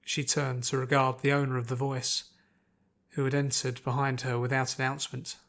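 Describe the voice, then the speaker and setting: Male audiobook narrator, average pitch, measured dramatic delivery of scripted fiction. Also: level tone, gravelly, a bit bright.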